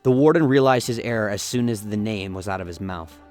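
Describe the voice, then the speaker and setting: A man narrates a dramatic tale from a script. His voice sits on the low side, and faint music plays behind him. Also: brisk, darkish tone.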